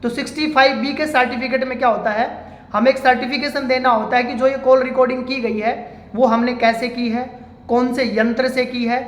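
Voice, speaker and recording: A man speaking as an advocate, giving an informal legal explainer step by step and addressing viewers as friends. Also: extremely high pitch. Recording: echoey room with long reverb.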